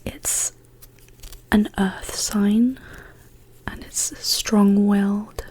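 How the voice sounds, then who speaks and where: A woman whispers in a hushed ASMR manner, taking her time with each phrase. She talks casually to her listeners as the host, at a slow, unhurried pace. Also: mid-range voice, little pitch movement, breathy edge, low-quality recording. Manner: slow, hushed, gently paced delivery.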